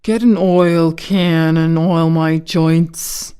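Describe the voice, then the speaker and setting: Woman voicing a slow, sad, polite tin man. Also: low voice, fairly even intonation, warm tone, smooth, voice pushed down. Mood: stiff, pleading. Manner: slow, deliberate, courteous.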